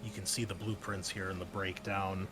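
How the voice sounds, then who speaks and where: A man narrating and running a tabletop game as game master, in a relaxed, loose way with plenty of fillers. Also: low voice, normal speed.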